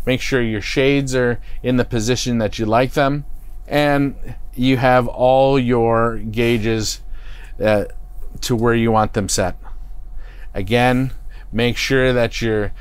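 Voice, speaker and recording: A middle-aged man talks slowly through a how-to demonstration from the driver's seat of a parked motorhome. His voice is smooth and clear at a middle pitch, picked up close on a lapel mic. He explains in a plain, stop-start way, with fillers and restarts. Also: steady tone. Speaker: product expert. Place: inside a parked motorhome cockpit.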